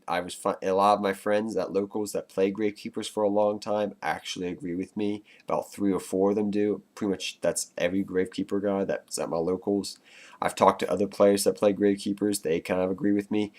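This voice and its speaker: A man with a cold and a congested voice, chatting loosely to his viewers as he explains his card game deck. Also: low-pitched.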